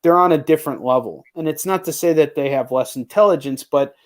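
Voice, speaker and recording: A man's voice: fairly high pitch, fairly quick. A man hosting a casual live interview, talking in an informal, slangy way with lots of "like" and "you know.". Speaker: host of a live chat show. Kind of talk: casual video chat interview.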